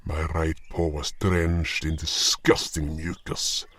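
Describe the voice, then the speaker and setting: A man narrating and playing a gruff, impatient beast hunter in a fan audio drama. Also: very deep voice, a little hiss or hum, exaggerated voice. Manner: slow deliberate storytelling, louder and excited in dialogue. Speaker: narrator and lead character.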